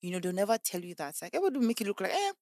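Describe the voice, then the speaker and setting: A woman's voice: medium pitch, racing, dry recording, studio quality. A woman, chatting as a podcast guest and acting out the voices of people she quotes. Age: 20s. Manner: animated, acts out quoted voices. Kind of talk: casual conversation.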